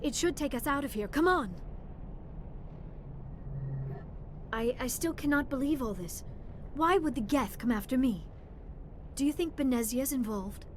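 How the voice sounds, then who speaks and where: A high, earnest young alien archaeologist from a video game, anxious and formally spoken. The sound is echoey and a little distant, with noisy ambience around it. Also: female voice, lively intonation, normal speed. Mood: anxious, relieved.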